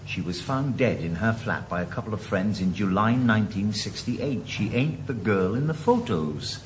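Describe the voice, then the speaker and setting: A Welsh actor doing a stubborn retired detective with a dry, sarcastic edge, from a 1980s radio drama. His voice sits low but swings a lot in pitch. It comes through a noisy location recording. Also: animated, normal speed, natural voice. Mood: grim. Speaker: lead actor.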